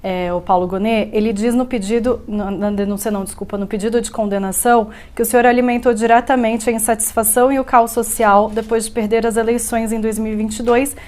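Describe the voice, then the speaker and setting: A woman's voice: fairly high pitch, steady tone, brisk, hardly any pauses, darkish tone. A woman journalist with a Brazilian accent, asking formal, persistent interview questions.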